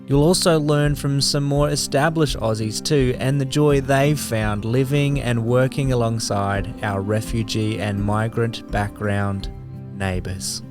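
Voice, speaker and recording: A man reading a podcast's scripted introduction in a smooth, even delivery. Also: medium pitch, unhurried. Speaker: podcast announcer. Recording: voice over guitar music.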